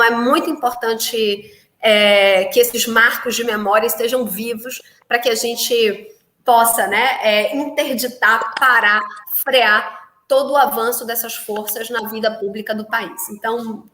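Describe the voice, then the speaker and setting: Bright, high Bahian woman in an echoey room. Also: slight background noise. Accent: Bahian, Salvador. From Brazil, Salvador. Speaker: city councillor guest. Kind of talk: political live-stream discussion.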